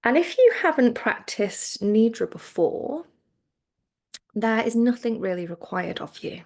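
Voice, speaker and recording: A woman teaching guided relaxation, speaking in a soothing, gentle way with phrases that trail off softly. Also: on the high side, big pitch swings, a little slow. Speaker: yoga nidra relaxation teacher.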